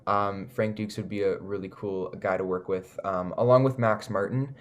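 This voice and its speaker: A man with a Canadian accent giving casual, enthusiastic interview answers, often pausing with "like" and "you know". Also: lowish voice, steady tone. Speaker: recording artist, interview guest.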